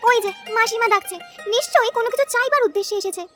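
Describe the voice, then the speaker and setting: A woman playing a blunt, suspicious village farmer's wife in a cartoon, speaking briskly and matter-of-factly. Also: very high-pitched. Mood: irritated, suspicious. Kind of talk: children's cartoon voice acting. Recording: loud music behind the voice.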